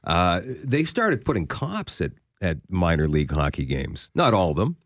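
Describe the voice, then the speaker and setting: A man's voice: low-pitched, very animated, moderate pace, a little hiss or hum. A Canadian man hosting a casual talk show, setting up scenarios and quizzing his co-hosts. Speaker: host. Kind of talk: casual radio chat.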